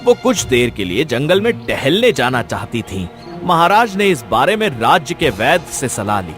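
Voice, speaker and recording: A man as a solemn, expressive royal minister. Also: mid-range voice. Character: male royal minister recounting a sad past. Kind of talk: dramatized storytelling dialogue.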